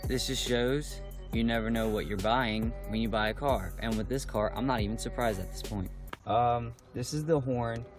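A young man with a slightly raspy, hoarse voice chats casually with lots of ums while showing a car install in a how-to vlog. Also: mid-range voice, normal speed, music in the background. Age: young adult. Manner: chatty and informal, with frequent ums. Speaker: car vlogger. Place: outdoors on a porch, then inside a parked car.

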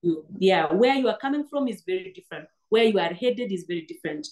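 A woman's voice: mid-range voice, lively intonation, fast talker, a little mellow. Earnest Kenyan woman on a video call. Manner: earnest, restarts words often. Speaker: guest, PhD graduate. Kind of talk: interview over video call. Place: indoor room, seated on sofa. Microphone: laptop webcam on video call.

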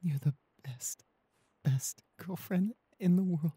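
Mellow, shy young boyfriend, acted by a man. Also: a little high, slow pace, slightly rough, dry recording, heavily acted. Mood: tender, grateful. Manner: soft, hesitant, stammering. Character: shy, anxious, clumsy, devoted young boyfriend. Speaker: audio roleplay voice actor. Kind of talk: intimate boyfriend roleplay audio. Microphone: close studio condenser microphone.

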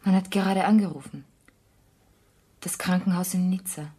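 Subdued, shaken woman, radio drama. Low and mellow, terse, grave delivery, husky. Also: steady tone, moderate pace. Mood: numb, grave. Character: shaken, subdued woman bearing bad news. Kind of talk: German radio drama acting.

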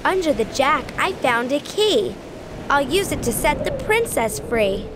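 A woman reading scripted rhyming riddles for a children's game, with deliberate, sing-song enunciation. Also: sits high, sing-song, very noisy. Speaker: game narrator.

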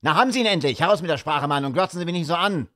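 A man voicing an angry, blunt middle-aged gentleman who speaks in a broad rural dialect. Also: on the high side, lively intonation, very fast, voice pushed up. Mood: furious. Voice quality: rough broad dialect, blustering.

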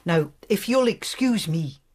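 An actress with a British accent playing a stern, dismissive woman in charge at a clinic, husky, formal and curt, in a radio drama. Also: medium pitch, lively intonation, unhurried, darkish tone.

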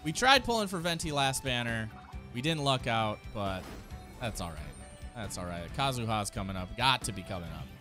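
A man's voice: mid-range voice, animated, moderate pace, pushed and bright. An American man in his thirties giving casual, enthusiastic, slangy reaction commentary close to a microphone. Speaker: streamer reacting to game character trailers. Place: small indoor streaming room. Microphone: close desk microphone. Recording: game music behind the voice. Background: music from game trailers.

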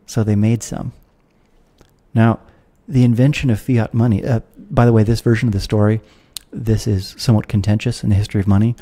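A man in his thirties with an American accent, a guest on a podcast interview who explains history in a measured, lecturing way over a video call. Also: fairly low pitch, fairly even intonation, mellow. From United States. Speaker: guest philosopher. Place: room at home with guitars. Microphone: studio mic with headphones, video call.

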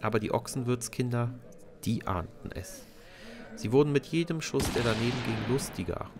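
A man's voice: mid-range voice, moderate pace. Male storyteller over crowd noise. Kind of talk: scripted children's story narration.

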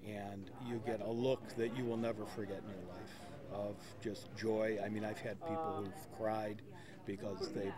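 Earnest man with a low voice. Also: level tone, normal speed, crowd noise. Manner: earnest, heartfelt. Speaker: volunteer optician. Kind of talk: interview answers.

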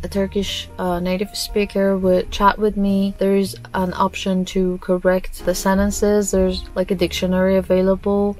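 A woman's voice: medium pitch, a little mellow, poor audio. A young woman whose first language is Farsi, chatting to camera in a casual vlog with lots of "like" and "uh" fillers, recorded in a small dim room. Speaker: language-learning YouTuber. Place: small dim room with panelled walls, indoors.

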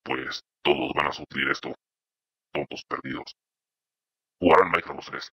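A man's voice: moderate pace, pushed and bright, husky. A young man hosting his channel, joking and rambling while demoing a voice changer. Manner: joking, rambling, silly insults. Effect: deep voice changer. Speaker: channel host. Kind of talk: playful voice-changer demo.

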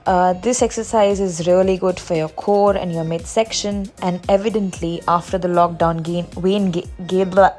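A woman's voice: fairly low pitch, fairly even intonation, moderate pace, few pauses, darkish tone. A young woman with an Indian accent, talking casually as a fitness vlogger. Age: young adult. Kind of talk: casual vlog narration. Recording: music behind the voice.

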